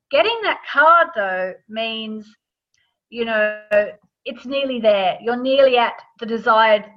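A woman speaking as a guest author, casually explaining her subject in a conversational way, checking in with the listener as she goes. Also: a little high. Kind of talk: casual explanatory interview talk. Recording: dull lo-fi sound.

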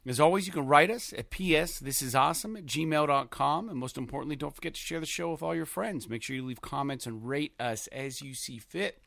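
A man's voice: mid-range voice, fairly bright tone, no echo, clean studio sound. A man hosting a casual podcast, running through show plugs and swearing freely in chat. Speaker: podcast host.

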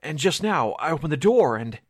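A man's voice: a little high, sing-song, moderate pace, no echo, studio quality. A man playing an anxious, flustered newspaper assistant with breathless run-on talk, in 1940s radio drama style. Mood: panicky. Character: jittery, anxious young-sounding male column assistant.

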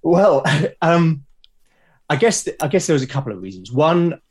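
Husky man, halting and enthusiastic. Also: fairly high pitch, sing-song, a little mellow. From Britain. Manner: hesitant, repeats words, enthusiastic. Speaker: podcast guest, solo game podcaster. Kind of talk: casual conversational interview.

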